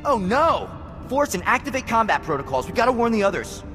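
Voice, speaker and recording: An actor playing a zealous, jumpy militia comrade in a video game, earnest and urgent in manner. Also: male voice, mid-range voice, sing-song, moderate pace, big-room sound, faint music behind, natural voice. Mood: alarmed.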